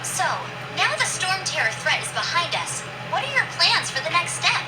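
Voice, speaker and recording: A woman's voice: very high-pitched, big pitch swings, moderate pace, reverberant, recorded from a distance. A woman playing a peppy, friendly young knight in a video game, girlish, earnest and chatty. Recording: noisy game capture.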